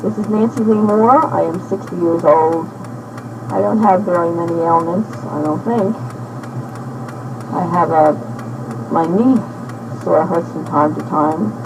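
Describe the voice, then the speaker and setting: A woman in her sixties talking slowly and haltingly into a personal audio diary, with many pauses and fillers, heard from an old cassette tape. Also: lowish voice, creaky, noisy background. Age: 60s. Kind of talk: rambling spoken diary.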